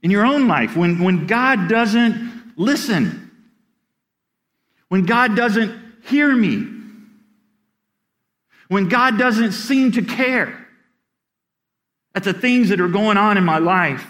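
A man's voice: high-pitched, animated, takes it slowly, long pauses, fairly bright tone. A middle-aged man preaching a sermon as pastor, earnest, often repeating words as he starts a sentence.

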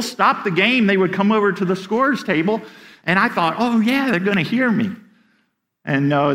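Earnest high-voiced man preaching a sermon. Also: fairly bright tone. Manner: earnest, repeats words when starting sentences. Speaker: pastor.

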